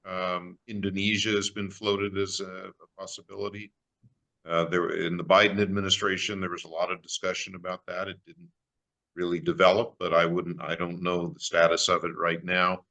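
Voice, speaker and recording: A man speaking as a panel guest in an online discussion, measured and careful, often trailing off softly. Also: low voice, flat delivery, vocal fry.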